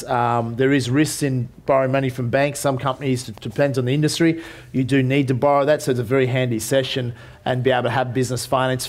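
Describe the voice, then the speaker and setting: A man in his forties with an Australian accent, presenting to a seminar audience in a conference room, talking casually with many fillers and restarts. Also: medium pitch. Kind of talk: business seminar talk.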